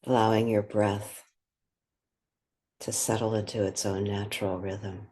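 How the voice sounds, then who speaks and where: A woman guides a meditation in a soft, airy voice with a gentle breathiness. She moves very slowly, leaving long pauses between short, calm phrases. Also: a bass voice, husky.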